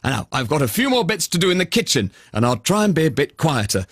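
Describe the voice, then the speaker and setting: A man voicing a kindly, calm unicorn who cleans houses in a children's bedtime story. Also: on the high side, fast, no echo, clean studio sound. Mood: kindly, reassuring.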